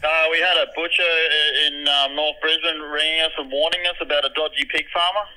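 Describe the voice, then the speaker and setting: A man's voice: on the high side, little pitch movement, brisk, bright, forceful tone. An Australian man working in a butcher's shop, talking bluntly and matter-of-factly over a telephone line. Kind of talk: phone conversation with a prank caller.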